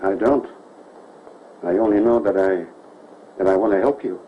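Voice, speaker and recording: A man's voice: lowish voice, moderate pace, natural voice. An actor playing a jealous male admirer who makes veiled threats, in a 1930s film. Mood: brooding. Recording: muffled old film soundtrack with faint hiss.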